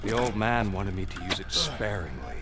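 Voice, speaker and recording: An actor playing a scheming male villain who taunts in a theatrical, then hushed, menacing way. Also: fairly low pitch, animated, normal speed, heavy background noise, close to an everyday voice. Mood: whispering taunt. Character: gloating scheming male crime boss villain.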